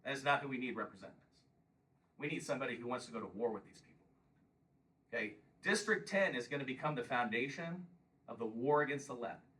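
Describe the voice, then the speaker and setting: A man's voice: mid-range voice, animated, fast talker, bright, forceful tone, far from the mic, slight background noise, rough recording. A man in his fifties giving a combative campaign speech and taking questions at a local meeting, often pressing "Okay?". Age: 50s. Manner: combative, emphatic, repeats "Okay?". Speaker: congressional candidate.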